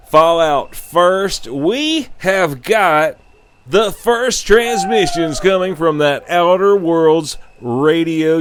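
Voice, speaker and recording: A man hosting a radio show with theatrical, exclamatory, joking delivery and drawn-out words. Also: a little high, a bit bright, a little hiss or hum. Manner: casual swearing, joking. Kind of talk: hyped radio DJ banter and comedic ad reads. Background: music behind the voice.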